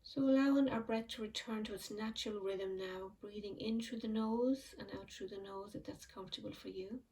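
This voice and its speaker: A woman leads a calm mindfulness meditation in a mid-range voice. She speaks softly and slowly, letting long pauses hang between phrases. Her voice sits a little back from the microphone. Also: mid-range voice, distant mic, rough recording. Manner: slow, soft and soothing, with frequent pauses. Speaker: meditation teacher.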